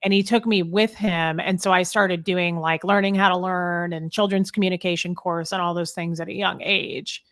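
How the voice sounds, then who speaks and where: A woman's voice: on the low side, steady tone, normal speed, few pauses, fairly bright tone. A woman hosting a casual chat, speaking candidly in a chatty, personal storytelling way. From American Midwest. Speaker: podcast host. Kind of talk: casual conversation.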